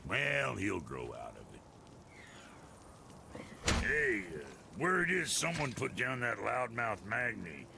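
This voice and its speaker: An actor playing a sardonic dwarf blacksmith in a video game, blunt and gravelly. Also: male voice, medium pitch, very animated, slow, long pauses, background noise, full character voice. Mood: teasing. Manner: blunt, sarcastic, needling. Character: brash, foul-mouthed, sardonic dwarf blacksmith. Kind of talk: cinematic video game dialogue.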